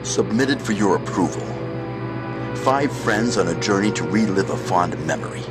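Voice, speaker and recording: An actor playing a wry, disembodied narrator of an eerie anthology tale, measured and portentous. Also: male voice, on the low side, animated, over loud music, close to an everyday voice. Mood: ominous, wry.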